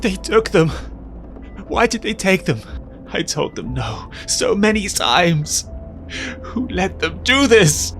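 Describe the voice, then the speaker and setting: A wounded, anguished young-sounding hero in an audio drama. His voice is fairly high and swings widely, halting and slow, with music underneath. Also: very animated, a bit bright, full character voice. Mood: anguished panic. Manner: halting, distraught. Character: maimed, anguished winged hero in a hospital bed.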